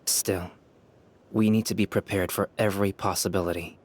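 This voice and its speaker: An actor playing a calm, polite young male researcher in a game, speaking formally and articulately. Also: low-pitched, normal speed, slight background noise, lightly acted. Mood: grave, thoughtful. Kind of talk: game character dialogue.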